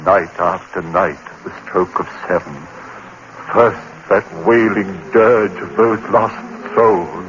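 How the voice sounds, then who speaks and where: A man narrating a radio horror drama in the first person, frantic and haunted, with sudden jumps into high pitch. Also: slow, a bit bright. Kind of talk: 1930s radio horror acting. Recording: dull old radio broadcast with faint hiss.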